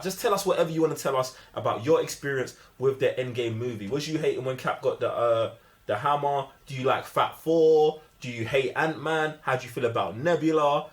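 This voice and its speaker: A man with a London accent in casual, opinionated chat as a review co-host, full of slang, insistent and repeating himself for emphasis. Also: medium pitch. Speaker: co-host of a film review show.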